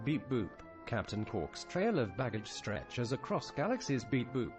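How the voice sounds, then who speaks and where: A man voicing a blunt robot sidekick in a comic sci-fi radio play, in a stilted, deadpan way. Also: medium pitch, measured pace, music in the background. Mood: snide. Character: small-minded literal robot sidekick, blunt and plaintive.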